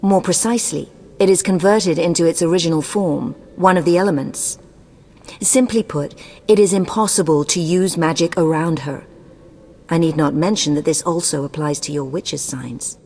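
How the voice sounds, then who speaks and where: Cold imperious sorceress, lowish female voice, haughty formal delivery, fantasy game dialogue, long reverb. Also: normal speed, a little mellow. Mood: formal, clipped. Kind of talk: scripted video game dialogue.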